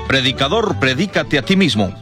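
A man's voice: mid-range voice, big pitch swings, moderate pace, music in the background. Earnest man hosting a program intro. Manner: earnest direct address to listener. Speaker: program host.

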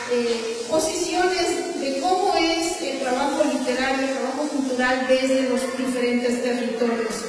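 A woman giving a formal welcome speech and thanks as an event organizer. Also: sits high. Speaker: festival organizer giving opening remarks. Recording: very echoey hall, distant mic, noisy.

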